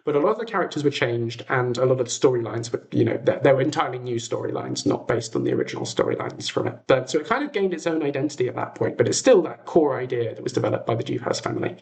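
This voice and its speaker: A British man speaks at a middling pitch in a chatty, informal livestream style. He tells stories conversationally, hesitating and breaking off to rephrase as he goes. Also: animated, quick pace, vocal fry, few pauses, a little mellow. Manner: chatty, hesitant, self-interrupting. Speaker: livestream host. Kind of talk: casual livestream chat, storytelling.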